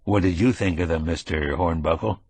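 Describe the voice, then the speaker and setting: A man's voice: sits low, a little fast, a little mellow, slightly rough, dry recording, voice pushed down. A man voicing a polite, dry-witted man asking questions. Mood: curious.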